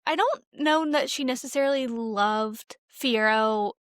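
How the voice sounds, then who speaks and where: A woman's voice: fairly high pitch, measured pace, smooth, no room sound. Casual young woman, dry close sound. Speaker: podcast co-host. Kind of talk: casual chat about films.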